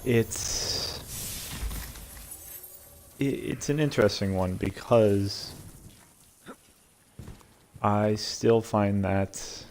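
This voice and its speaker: A man from America hosting a solo vlog in a casual, reflective way, halting and repeating words as he searches for phrasing. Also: on the low side, a little mellow, slight background noise. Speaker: host of a solo vlog and podcast. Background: video game sound and music.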